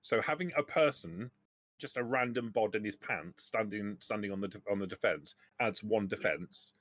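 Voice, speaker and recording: A man's voice: fairly low pitch, normal speed, fairly bright tone, dry recording. A man acting as game master, explaining rules and options to players in a tabletop roleplaying game.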